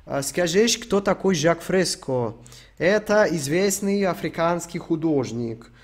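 A man's voice: a little high, lively intonation, measured pace. A young man from Ukraine streaming, answering chat questions in a rambling, sweary, joking way. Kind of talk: casual stream Q&A.

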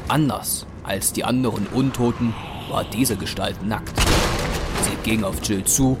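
A man's voice: fairly low pitch, measured pace, background noise. A man narrating a scripted German audio drama. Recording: music bed under narration.

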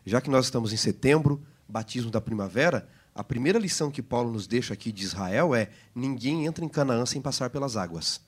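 A man's voice: medium pitch, expressive, brisk, hardly any pauses, breathy edge. A man with a Brazilian Portuguese accent, a pastor co-hosting a Bible lesson program, explaining scripture in a teacherly way. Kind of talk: Bible study discussion.

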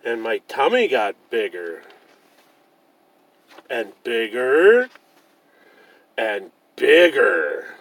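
Man as a tender mother bear, slow and gentle. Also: medium pitch, huge pitch swings, dry recording, exaggerated voice. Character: loving, tender mother bear, reassuring her small cub.

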